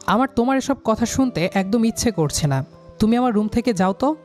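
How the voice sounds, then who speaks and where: A man's voice: high voice, lively intonation, a little fast, a little mellow, faint music behind. Young man narrating a romantic story. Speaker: storyteller, lead character. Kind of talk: scripted first-person romantic story narration.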